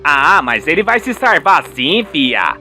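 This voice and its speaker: Guy doing earnest middle-aged dad in a radio soap, folksy, slow talking, faint music. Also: a little high, sing-song, sharp tone, heavily acted. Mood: hopeful. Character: earnest middle-aged family man, reassuring and devout.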